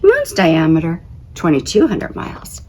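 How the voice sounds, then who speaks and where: A woman with a slightly low voice reads a story for children. Her delivery is conversational and very lively, rising and falling often as she goes. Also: unhurried, a little hiss or hum. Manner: conversational, expressive. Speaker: teacher reading a picture book aloud. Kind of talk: expressive children's story read-aloud.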